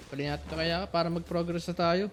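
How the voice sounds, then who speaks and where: A woman's voice: on the low side, fairly even intonation, fast talker, a little hiss or hum. A woman with a Filipino accent giving casual commentary as she plays a video game. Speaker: game streamer. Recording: voice over clearly audible game music.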